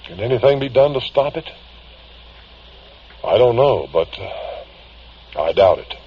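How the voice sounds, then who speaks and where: An actor playing a grave, worried older man confiding strange cases, speaking haltingly and earnestly in vintage radio drama style on a dull recording. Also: medium pitch, lively intonation, a little hiss or hum. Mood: fearful, urgent. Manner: halting, deliberate, earnest, pausing mid-phrase. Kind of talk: vintage radio drama acting, confessional monologue.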